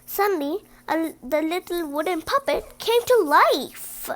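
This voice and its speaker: A woman reading a children's story aloud with animated, emphatic stresses and brief asides. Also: very high-pitched, unhurried. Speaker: storybook reader.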